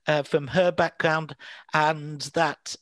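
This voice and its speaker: A man with a Manchester accent, a priest who hosts a podcast, reflecting aloud in a meandering, thoughtful way, often pausing on fillers and starting his sentences over. His voice sits a touch high for a man. It is close to the mic and very dry, with no room sound. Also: flat delivery, fairly bright tone, no room sound. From England. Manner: thoughtful, rambling with many fillers and restarts. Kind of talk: casual conversational podcast chat.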